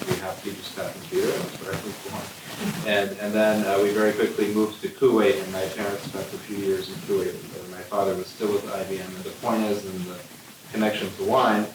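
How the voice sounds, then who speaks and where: A low-voiced man tells a rambling, good-humored story on a panel, often stopping to restart his sentences. He is heard from some distance in an echoey room. A steady hiss runs under the recording. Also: brisk. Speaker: winemaker on a panel. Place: event room.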